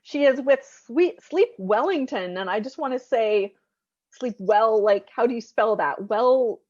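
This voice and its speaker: Higher-voiced woman hosting, lo-fi sound. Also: expressive, measured pace, a little mellow. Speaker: podcast host. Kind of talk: casual podcast interview.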